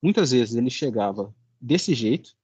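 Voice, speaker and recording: A young man with a Brazilian Portuguese accent, giving an informal student presentation in a halting, colloquial way. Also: mid-range voice, lively intonation, a little mellow, slightly rough, no room sound. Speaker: student presenting a final project.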